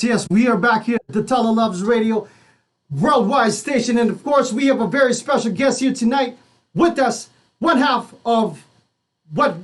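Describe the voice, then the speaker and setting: A woman hosting a radio interview in a casual, chatty style. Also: on the high side. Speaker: radio host.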